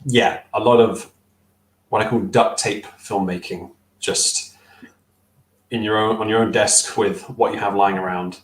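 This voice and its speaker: A man's voice: fairly low pitch, fairly even intonation, a little slow, reverberant, poor audio. A young man on a video call with a headset, answering interview questions casually, thoughtful and hesitant with many fillers and restarts. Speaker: stop-motion filmmaker guest. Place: small room at home.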